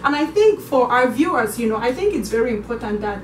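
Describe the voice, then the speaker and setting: A young woman from Cameroon with a Cameroonian accent, co-hosting a casual vlog chat and addressing viewers in an animated way. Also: a little high, a little fast, slight background noise. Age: young adult. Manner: animated, acts out quoted voices. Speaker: vlog co-host. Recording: echoey room, mic at a distance.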